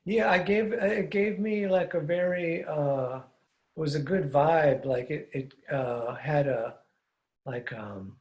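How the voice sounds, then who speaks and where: An older man hosting a podcast, asking curious, rambling questions that often trail off, speaking into a studio mic on a boom arm while wearing headphones. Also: slow, a little mellow. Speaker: podcast host. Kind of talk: casual conversational interview. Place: room at home with blinds, indoors. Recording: roomy echoey sound.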